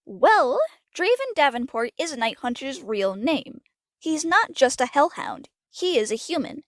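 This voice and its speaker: A woman's voice: sits high, very animated, a little slow, no room sound, studio quality, full character voice. A woman voicing a scholarly, encouraging pony princess aunt in an amateur cartoon audio drama, explaining things in a reassuring way.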